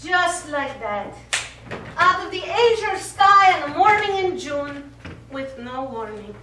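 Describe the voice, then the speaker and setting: A woman's voice: sits high, sing-song, slow pace, pushed and bright, full character voice. A stage actress, a woman playing a troubled, wounded Italian village woman in her forties with an anxious, dramatic, questioning delivery. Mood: troubled, agitated. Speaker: stage actor. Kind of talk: live stage play dialogue. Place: small indoor theatre studio, recorded from audience distance. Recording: roomy, distant stage recording with background noise.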